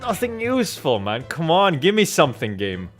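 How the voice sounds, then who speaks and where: A man's voice: fairly high pitch, wildly swooping pitch, normal speed. A man doing casual commentary while he plays and reads out a video game. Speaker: game streamer and voice performer. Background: game music.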